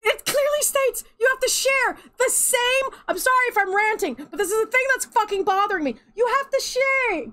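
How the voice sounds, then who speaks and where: A woman streamer with a light twang, ranting in exasperated gameplay commentary with loud outbursts and laughter. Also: very high-pitched, animated, normal speed, hardly any pauses.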